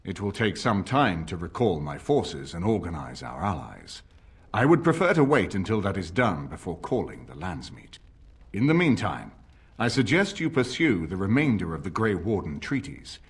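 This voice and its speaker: Older noble war leader, husky, widely varied intonation, formal and deliberate, grave game dialogue. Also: male voice, deep voice, big pitch swings, heavily acted. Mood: resolute. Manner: measured, formal, authoritative. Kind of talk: dramatic video game dialogue.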